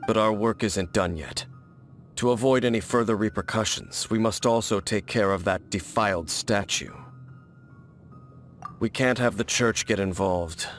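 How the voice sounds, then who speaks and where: A man playing a grim, distrustful lone warrior in a video game, speaking in a solemn, measured, formal manner. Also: on the low side, fairly bright tone, faint music behind. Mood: guarded, resolute. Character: grim, stoic, distrustful lone warrior guarding ancient secrets. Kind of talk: English video game voice acting.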